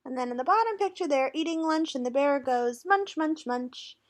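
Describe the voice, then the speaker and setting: A woman's voice: sits high, lively intonation, a little fast. A woman leading an interactive children's read-aloud, instructional, putting questions to young listeners. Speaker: teacher or tutor reading aloud.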